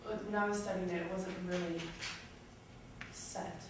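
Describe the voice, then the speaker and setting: A woman's voice: on the low side, level tone, normal speed. A female student in a class discussion, asking tentative questions. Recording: echoey classroom from far off, noisy.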